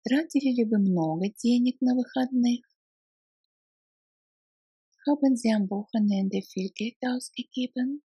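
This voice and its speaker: A woman reading short phrases for beginners, repeating each one slowly in careful chunks. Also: fairly high pitch, mellow, breathy edge, no room sound, clean studio sound. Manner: slow, careful phrase-by-phrase repetition. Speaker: language lesson reader.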